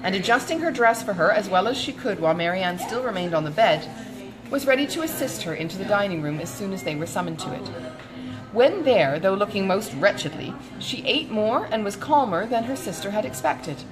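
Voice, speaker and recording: A woman reading a classic novel as an audiobook narrator, in a measured, expressive storytelling manner. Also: mid-range voice, over music, poor audio.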